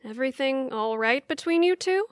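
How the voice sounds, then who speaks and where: A woman's voice: sits high, animated, normal speed, no echo, clean studio sound. A woman playing a touchy, sardonic young adult daughter with a pointed, exasperated manner in an audio theatre comedy-drama. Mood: dry, evasive. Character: touchy, sardonic grown daughter, freshly divorced.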